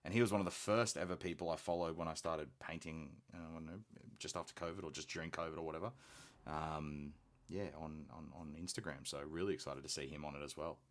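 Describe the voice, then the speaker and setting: A man's voice: very deep voice, fast talker. A man from Australia with a rather posh-sounding accent, chatting casually while he paints on a live hobby stream. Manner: relaxed, chatty, self-deprecating.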